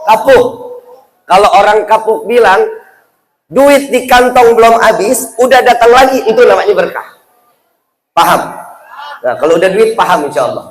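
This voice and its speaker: A male Islamic preacher with a Jakarta Indonesian accent and a high-pitched voice. He speaks colloquially and playfully to a live audience, firing rhetorical questions to draw them in. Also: animated, moderate pace, long pauses, rough recording. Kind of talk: humorous sermon to a live audience.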